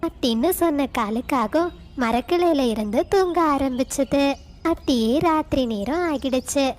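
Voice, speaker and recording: High-voiced woman narrating a children's story. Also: lively intonation, moderate pace, a little mellow.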